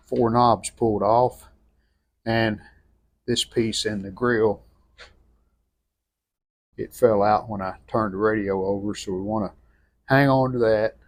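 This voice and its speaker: A man giving an unscripted hands-on restoration walkthrough in plainspoken, halting short phrases. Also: lowish voice, creaky. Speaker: radio restorer presenting own channel.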